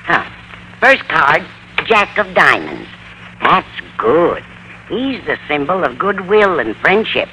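A woman's voice: deep voice, very animated, slow, exaggerated voice. A woman playing a dotty, easily offended older landlady in an old radio comedy, fussy and dramatic in manner. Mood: mysterious, portentous. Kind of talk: 1940s-50s radio comedy acting. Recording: noisy old-time radio recording.

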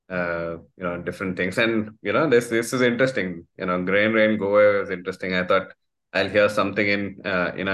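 A man's voice: low voice, a bit bright. An Indian man with an Indian accent, hosting a casual conversation, hesitant and full of filler sounds. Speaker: podcast host.

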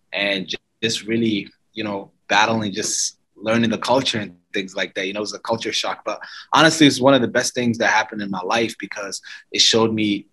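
A man from Somalia, a company co-founder and guest, telling his story in a relaxed way, full of "you know". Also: fairly low pitch, poor audio. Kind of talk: casual interview talk.

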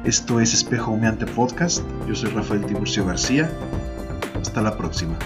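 A man narrating a literary fantasy poem as a podcast host, in a measured, deliberate storytelling style. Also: lowish voice. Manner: measured, deliberate, second-person storytelling delivery. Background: music.

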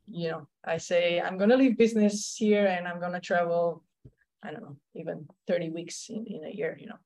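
A woman's voice: on the low side, fairly even intonation, moderate pace, a little mellow. A woman with a Slovenian accent, a tennis coach telling her story in an interview, with many fillers and restarts. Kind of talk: conversational interview answers.

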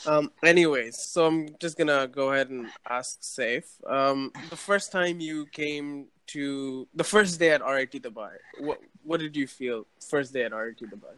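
Young male podcast host, smooth and clear. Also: medium pitch, normal speed, few pauses, fairly bright tone. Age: young adult. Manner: informal, joking with friends. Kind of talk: casual podcast chat.

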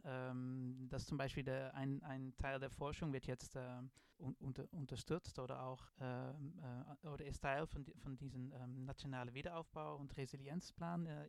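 A man's voice: mid-range voice, vocal fry, clear, resonant tone, no room sound. A middle-aged man with a Dutch accent, a design professor answering in a podcast interview, hesitant with many fillers and restarts. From Netherlands.

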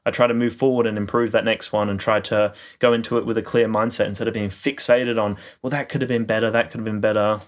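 Low-voiced man musing on a podcast. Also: little pitch movement, quick pace, hardly any pauses. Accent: non-standard, mocked as a kid. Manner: reflective, rambling with fillers and restarts. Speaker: podcast co-host, YouTuber. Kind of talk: casual podcast chat.

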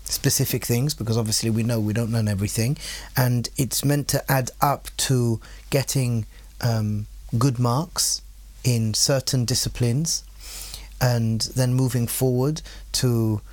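A man with a mid-range voice talks as a guest on a casual podcast. He speaks thoughtfully and hesitantly, posing rhetorical questions and pausing often. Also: medium pitch, fairly even intonation, a little mellow. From England.

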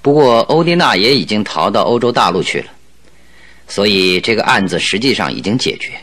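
A man's voice: lowish voice, lively intonation, a little fast, fairly bright tone. A man acting a courteous, self-mocking great detective in a Mandarin radio drama. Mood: rueful, courteous.

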